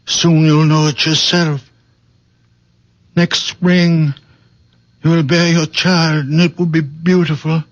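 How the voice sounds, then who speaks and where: An actor playing a gentle, weary, very old man in a 1970s radio drama, speaking solemnly in halting, simple phrases. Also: fairly high pitch, level tone, no echo, muffled recording. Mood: tender, solemn.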